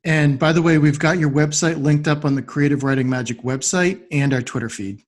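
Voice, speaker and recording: Casting: male podcast host, slightly high-pitched voice, interview style. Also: brisk. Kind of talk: interview hosting.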